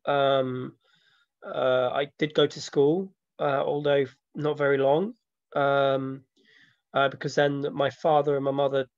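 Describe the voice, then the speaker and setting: A man, answering interview questions in a reflective, halting way. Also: mid-range voice, level tone, dry recording. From born in Slovakia, grew up from age seven in London. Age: 30s. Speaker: interviewee, film maker and photographer. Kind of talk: informal personal interview. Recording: dull lo-fi audio, dry.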